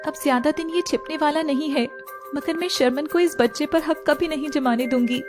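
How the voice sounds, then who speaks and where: A woman's voice: sits high, a little fast, natural voice. A woman voicing a long-suffering, resolute young wife in a dramatic story. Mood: bitter resolve. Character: wronged young village wife, expectant, bitter yet long-suffering. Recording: music playing behind the voice.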